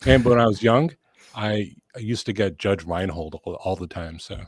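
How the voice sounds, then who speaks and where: A man's voice: on the low side, moderate pace. A man on a casual live chat show, laid-back, explaining the stream's features step by step.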